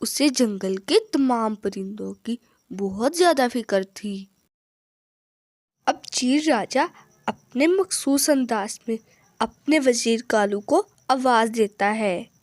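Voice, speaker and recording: A woman reads a children's story in a high voice. She takes it slowly and the sound is dry and close, in the manner of a scripted animal tale. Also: a little mellow, no echo. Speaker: narrator.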